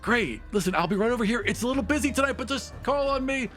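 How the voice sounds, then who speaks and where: A man's voice: extremely high pitch, animated, quick pace, fairly bright tone, faint music behind, pitched above the performer's own voice. A man voicing a flustered, eager-to-please waiter in a tabletop game, apologetic and hesitant.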